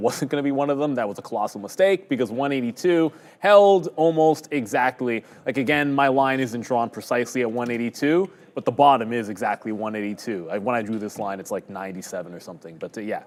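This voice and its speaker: Guy hosting a live trading stream, rambling, talks through his charts, jokes at his own expense. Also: mid-range voice. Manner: rambling, conversational, self-deprecating. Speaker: day-trading stream host. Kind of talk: live market commentary.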